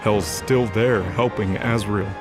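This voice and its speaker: A male narrator reads a scripted audio drama. His voice sits low and the delivery is dramatic, with music playing clearly beneath. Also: steady tone, slow.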